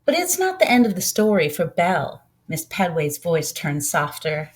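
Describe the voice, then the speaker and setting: A woman reads a children's storybook aloud as a volunteer narrator, with expressive delivery. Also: fairly low pitch, normal speed.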